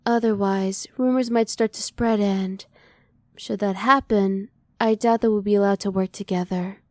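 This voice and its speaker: A reserved female alien scientist, acted by a woman for an ASMR roleplay, close and very dry. The voice is mellow and soft, with careful, formal phrasing and a cool, curious restraint. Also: on the high side, no room sound, natural voice. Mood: relieved, grateful. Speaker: voice actor.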